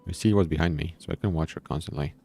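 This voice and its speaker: A man's voice: sits low, fast, vocal fry, warm tone. A man reading a visual novel aloud as a streamer, with casual asides, in a somewhat strained, tired voice. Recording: background music.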